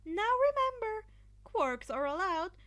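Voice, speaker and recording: A woman's voice: very high-pitched, big pitch swings, a little slow, voice pushed up, full character voice. A woman voicing a brash, enthusiastic female sports festival announcer in an amateur story reading. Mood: commanding. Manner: loud, enthusiastic, commanding. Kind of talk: amateur fan fiction audio reading.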